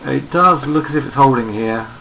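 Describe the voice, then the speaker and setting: Man, hoarse, gravelly, think-aloud chart commentary, unhurried. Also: mid-range voice, pushed and bright, a little hiss or hum, poor audio. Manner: thinks aloud, unhurried, repeats words while working through charts. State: hoarse, losing his voice. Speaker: trading analyst. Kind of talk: screen-recorded market chart commentary.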